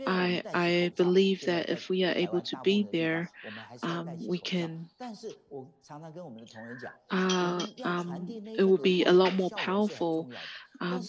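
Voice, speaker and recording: A woman giving a live English interpretation of a talk, halting often with ums, uhs and restarts. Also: on the low side, dull audio. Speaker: simultaneous interpreter. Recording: second voice speaking faintly underneath.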